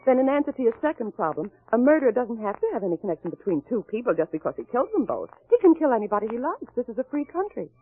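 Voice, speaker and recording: An actress playing a sassy, wry detective's sidekick in an old-time radio crime drama. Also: female voice, fairly high pitch, very animated, rapid-fire, hardly any pauses. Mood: breezy, teasing. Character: sassy quick-witted female sidekick of a detective. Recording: muffled vintage radio recording.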